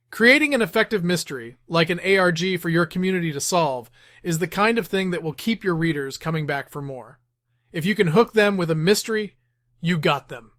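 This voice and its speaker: A man reading scripted instructional narration in a measured, deliberate, step-by-step way. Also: high voice, fairly bright tone, no echo, studio quality. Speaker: host of writing tips series.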